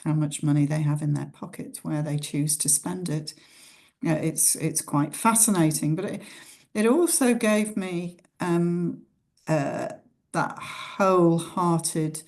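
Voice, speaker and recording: Middle-aged Scouse woman priest, low and slow, reflective and hesitant. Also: darkish tone. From Liverpool, England. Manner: thoughtful, many ums and uhs. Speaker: pioneer priest. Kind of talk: reflective personal talk.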